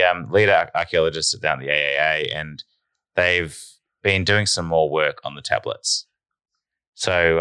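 A man co-hosting a podcast, deadpan and a bit nasal, telling a mock-serious tall tale. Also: a bass voice, clean tone, dry recording. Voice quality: nasal at times. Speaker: podcast co-host. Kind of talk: casual podcast banter.

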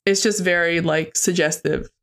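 A woman co-hosting a podcast in casual chat, talking fast and peppering her speech with "like". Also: fairly low pitch, fairly even intonation, gravelly. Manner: chatty, heavy use of "like" as filler. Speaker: podcast co-host.

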